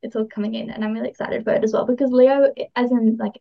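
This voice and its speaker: A woman's voice: fairly high pitch, fairly even intonation, quick pace, creaky, highs cut off. A woman chatting casually as she explains astrology as a podcast guest, informal, enthusiastic and encouraging.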